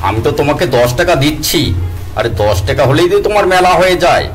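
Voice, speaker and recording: A man's voice: fairly high pitch, lively intonation, fast talker, soft background music, natural voice. A man playing a commanding, baffled king. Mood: bargaining. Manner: commanding, incredulous. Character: generous, puzzled male king.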